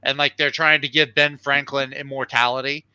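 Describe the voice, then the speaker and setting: A man's voice: mid-range voice, flat delivery, normal speed, bright, forceful tone, clean tone, no echo, lo-fi sound. A man chatting casually and enthusiastically as he reviews on a livestream show, informal and unscripted.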